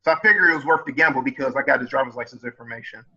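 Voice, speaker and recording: A man calling in as a student, explaining haltingly with many fillers. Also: mid-range voice, sharp tone, husky. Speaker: student caller. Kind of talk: casual call. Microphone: online call. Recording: dull lo-fi call sound.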